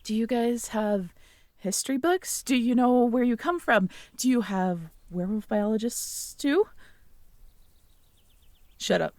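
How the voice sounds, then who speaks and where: A young woman in an ASMR audio roleplay, talking to the listener as a girlfriend, playful and teasing one moment and soft and caring the next. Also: fairly high pitch, unhurried, long pauses, no echo.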